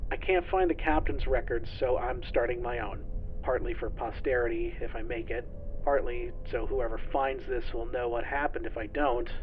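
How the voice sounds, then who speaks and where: A man playing a shaken, weary lone surviving spaceship flight engineer, recording a halting, confessional log in an audio drama. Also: medium pitch, muffled recording, a little hiss or hum, close to an everyday voice.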